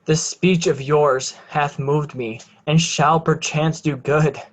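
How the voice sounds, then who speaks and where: An actor plays a dying, repentant male villain in Shakespearean tragedy. The voice is slow, halting and resigned. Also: medium pitch, fairly even intonation. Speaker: amateur actor in a reader's theatre. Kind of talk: Shakespearean stage reading.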